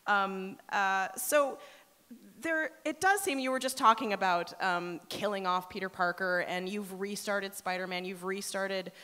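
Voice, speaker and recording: A woman's voice: medium pitch, unhurried, sharp tone, smooth. A woman hosting a live radio show and putting questions to her guest in an interview. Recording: live show with audience sound behind. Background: audience crowd.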